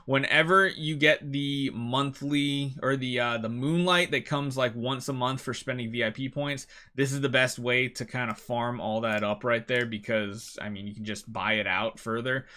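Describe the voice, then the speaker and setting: A man's voice: mid-range voice, fairly even intonation, normal speed, few pauses, pushed and bright. A man hosting a gaming video, giving casual, emphatic gameplay advice and repeating key words to drive points home.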